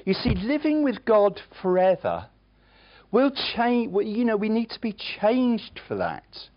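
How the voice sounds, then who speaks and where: Preacher, sermon delivery, very lively pitch swings, unhurried, on a dull lo-fi recording. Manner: emphatic preaching with chatty asides.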